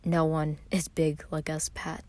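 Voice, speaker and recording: A woman's voice: deep voice, monotone, a little slow, a little mellow, no echo. A woman voicing a shy, anxious, reserved young giant who mumbles hesitantly in an audio story.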